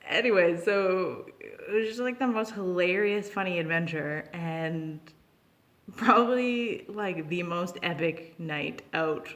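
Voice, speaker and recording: A woman's voice: lowish voice, takes it slowly, a bit bright. A young woman telling a personal story in a casual, unscripted vlog style, chatty and giggly, slipping into acted-out dialogue. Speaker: storytelling vlogger.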